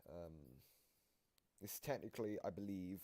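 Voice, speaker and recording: A man hosting a reaction video, casually explaining game lore in a halting way that often trails off. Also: low-pitched, warm tone, a little hiss or hum.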